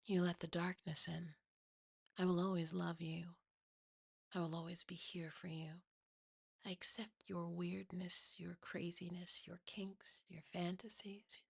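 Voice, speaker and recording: Devoted boyfriend for horror audio, read by a woman: soft, gentle, reassuring, breathy and close. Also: lowish voice, no room sound, full character voice.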